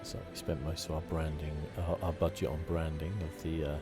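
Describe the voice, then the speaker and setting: A man with a very low voice chats quietly and easily through an ASMR gaming commentary. His delivery is casual and relaxed. Music plays clearly behind him. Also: a little fast. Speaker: gaming video host. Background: game music.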